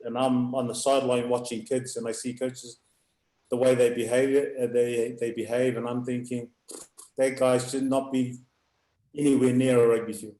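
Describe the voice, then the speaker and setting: A middle-aged man with a loud, booming voice, a youth rugby coach talking in halting bursts with frequent laughs. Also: little pitch movement. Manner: halting, restarts, laughs often. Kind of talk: live video call chat. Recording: echoey room.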